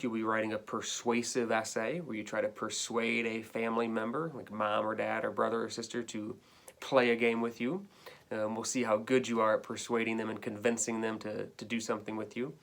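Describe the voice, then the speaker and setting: A man's voice: on the low side, flat delivery, normal speed, gravelly, a bit bright. A man speaking as an elementary school teacher, giving pupils an informal, conversational update with some hesitation. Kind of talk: informal remote-learning announcement to pupils.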